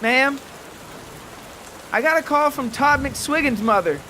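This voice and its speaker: A man puts on a kindly, gentle mother for a story podcast. The voice is lifted well up in pitch, with faint hiss and rain behind it. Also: lively intonation, takes it slowly, heavily acted. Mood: gentle, motherly. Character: kindly mother of a young boy.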